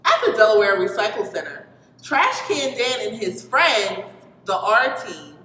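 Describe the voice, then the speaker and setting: Lively, high-pitched woman storyteller in an echoey hall. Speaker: storyteller reading to children. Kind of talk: animated children's story reading. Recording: reverberant hall with background noise.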